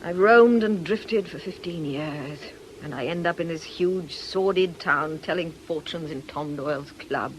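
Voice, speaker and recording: A woman's voice: low-pitched, unhurried, a little hiss or hum, rough recording. A woman playing an ailing, middle-aged fortune teller in a radio drama, scornful and theatrical. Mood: bitter. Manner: world-weary, scornful, declamatory.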